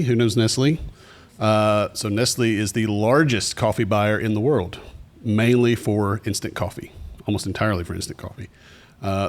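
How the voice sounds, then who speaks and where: A man from Tennessee with a Southern US accent, speaking as a podcast host in a conversational, explanatory way to a live audience. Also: on the low side. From Tennessee, USA. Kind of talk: informal presentation to a live audience. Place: cafe, live event.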